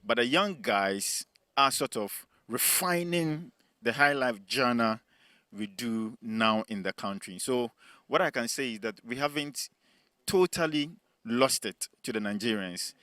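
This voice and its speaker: A man with a Ghanaian accent giving his opinion on a panel, unscripted, in a measured, explanatory way. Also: medium pitch, sharp tone, no echo, studio quality. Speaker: panelist on a TV discussion show.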